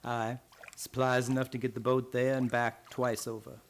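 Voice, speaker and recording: A man's voice: mid-range voice, flat delivery, a little hiss or hum, lightly acted. Man playing a bitter, menacing old boatman. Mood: terse, ominous. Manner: folksy, menacing, deliberate phrasing. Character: bitter old servant boatman, gloating with lifelong hatred. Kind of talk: radio drama acting.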